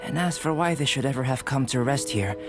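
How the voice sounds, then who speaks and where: An actor playing a calm, curious young male alchemist in a video game, speaking in a measured, polite way. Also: mid-range voice, natural voice. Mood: intrigued. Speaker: alchemist researcher. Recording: game audio with music behind.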